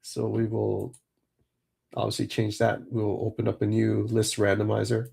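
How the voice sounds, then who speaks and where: A man hosting a livestream card break, chatting casually, a little hoarse and nasal from a cold. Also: on the low side, normal speed. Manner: relaxed, chatty, many fillers.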